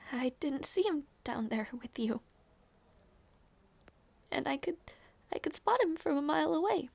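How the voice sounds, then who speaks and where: A woman acts out a gentle young princess in an audio roleplay, with a very high, delicate voice. She speaks slowly and hesitantly, as an anxious, tender-hearted sister. The sound is dry and intimate. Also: expressive, vocal fry, no echo, full character voice. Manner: soft, hesitant, halting delivery. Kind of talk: audio roleplay drama, intimate one-sided dialogue.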